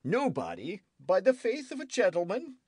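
Casting: male narrator voicing a querulous French king, raised pitch, swooping lines, dry close mic. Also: huge pitch swings, normal speed, darkish tone, breathy edge, no room sound, full character voice. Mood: pressing. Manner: querulous, demanding, theatrical. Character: petulant suspicious young French king. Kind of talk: audiobook character voice.

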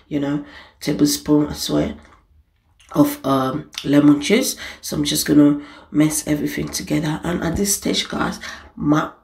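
A woman's voice: low voice, vocal fry, reverberant. A woman hosting a home cooking channel, talking casually over her recipe and repeating her points to the viewers. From Nigeria. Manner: chatty, repeats "guys" and "it's a must".

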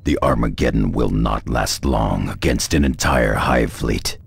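An actor playing a grim, brooding veteran space marine in a video game, speaking slowly and ominously in a gravelly voice. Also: male voice, very deep voice, a little hiss or hum, exaggerated voice.

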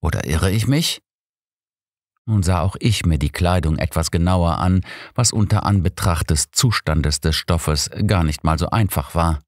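Deep-voiced audiobook man. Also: moderate pace, hardly any pauses, dry recording, studio quality. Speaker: audiobook narrator. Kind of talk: scripted first-person mystery narration.

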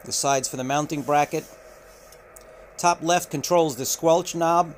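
A man walking through a radio unboxing, talking unhurriedly with frequent filler pauses, recorded indoors at a table on the camera's mic. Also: fairly high pitch, steady tone, a little hiss or hum. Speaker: radio reviewer. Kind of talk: unboxing walkthrough.

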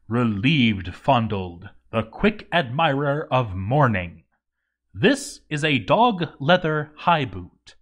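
A man giving a sarcastic, animated comedic narration of a game story, reading from a script. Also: medium pitch.

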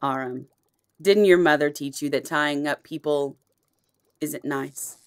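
Audio drama matriarch, female actor: low voice, cool authority, dangerous and fiercely protective of her own. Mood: mockingly playful. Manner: measured, cool, imperious. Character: commanding, protective supernatural mother, dangerous and impatient. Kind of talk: audio roleplay drama acting.

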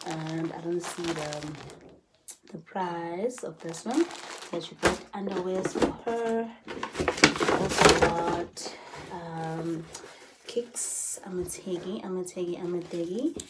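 Woman, thirties, low voice, unhurried, loud background noise, phone camera in a bedroom. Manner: chatty, repeats phrases, mixes in Zulu words. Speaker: vlogger. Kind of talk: casual haul vlog. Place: bedroom, indoors. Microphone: phone camera at arm's length.

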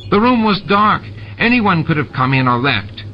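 A man's voice: big pitch swings, normal speed, slight background noise. A man doing a panicky whiny alien barkeeper. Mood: panicky whining. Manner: whiny, panicky, high-pitched pleading. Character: nervous greedy male Ferengi barkeeper. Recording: dull lo-fi audiobook with music.

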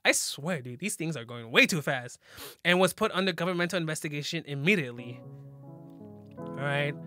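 Young male reaction host, high-pitched, slangy, trips over long words, music underneath. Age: young adult. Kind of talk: casual reacting and reading captions aloud.

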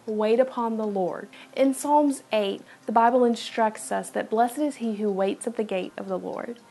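A woman's voice: fairly high pitch, a little mellow. A woman in her thirties, a home video host, giving an earnest devotional talk to camera from a room at home, with playful asides.

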